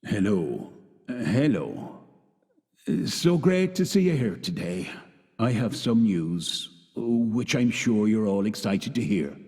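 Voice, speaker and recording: A man playing a smarmy chief executive in a radio play, husky, pompous and falsely upbeat as he delivers a halting corporate speech. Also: lowish voice, darkish tone, heavily acted. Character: smarmy male CEO coldly announcing mass layoffs. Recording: long echoing hall reverb.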